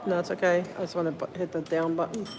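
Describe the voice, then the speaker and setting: A woman's voice: on the low side, level tone, fast. Rambling woman livestreaming on a noisy phone. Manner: rambling, thinks aloud, thanks viewers. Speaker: livestreamer filming city meetings. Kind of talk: casual livestream chat. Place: public building hallways and elevators.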